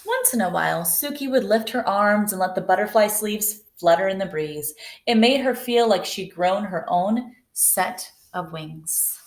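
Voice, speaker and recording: Woman gently reading a picture book. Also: mid-range voice, expressive, measured pace. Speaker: storybook reader. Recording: echoey room.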